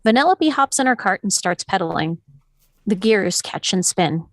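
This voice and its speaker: A woman's voice: medium pitch, expressive, normal speed, no echo. Woman narrator, dry close sound. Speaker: narrator reading stage directions. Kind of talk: scripted narration.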